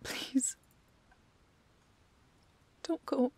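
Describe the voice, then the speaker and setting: A woman acting a lovelorn young woman in an emotional fantasy audio roleplay, pleading in a breathy, halting way. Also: a little mellow. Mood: grieving, tender. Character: young woman pleading tearfully for her beloved beast. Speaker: voice actress.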